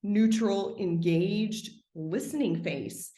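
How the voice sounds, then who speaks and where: A woman's voice: slow pace, mellow. Reflective woman in an echoey room. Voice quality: trained singer, speaks lower than her singing range. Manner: thoughtful, expansive, frequent "like" fillers. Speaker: podcast guest. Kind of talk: conversational interview.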